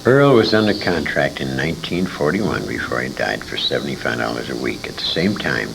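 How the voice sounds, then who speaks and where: Very deep, gravelly older man reminiscing. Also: expressive, fairly quick, fairly bright tone, slight background noise, low-quality recording. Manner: rambling, self-correcting storyteller. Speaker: interview guest, former radio actor. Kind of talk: reminiscing interview talk.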